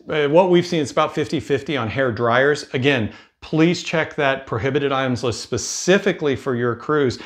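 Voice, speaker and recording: A man in his fifties with an American accent, talking casually and explaining things as a video host. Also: medium pitch. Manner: conversational, explanatory. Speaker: cruise advice video host. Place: indoor room with bookshelves. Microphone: lapel mic.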